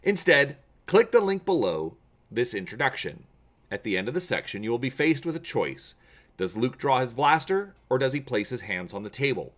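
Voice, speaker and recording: Animated male gaming channel host. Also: mid-range voice, normal speed. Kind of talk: dramatic reading and explaining to viewers.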